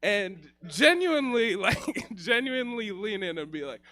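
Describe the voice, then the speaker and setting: A man's voice: high voice, sing-song, measured pace, bright, forceful tone. A young man from Rwanda doing a stand-up set on a comedy theater stage, rambling with lots of fillers and laughing at his own jokes.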